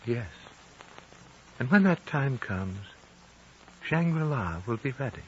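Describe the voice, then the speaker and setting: A man playing an ancient, serene, fatherly High Lama in a 1950s radio drama, solemn and formal in his phrasing. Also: big pitch swings, takes it slowly. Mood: solemn, prophetic. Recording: muffled, hissy old radio recording.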